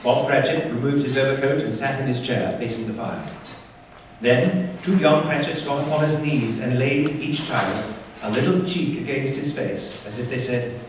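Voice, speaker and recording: An actor playing the solemn, measured narrator of a stage radio play, telling the story in literary style. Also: male voice, medium pitch, lightly acted. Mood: solemn, hushed. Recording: echoey hall from far off, faint noise.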